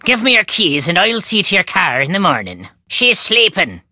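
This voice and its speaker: Gruff irish country woman, game character, dismissive, sharp bright voice, close dry sound. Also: mid-range voice, very animated, moderate pace, dry recording, exaggerated voice. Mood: stern, dismissive. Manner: curt, dismissive. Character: brusque, gruff Irish countrywoman, suspicious of strangers.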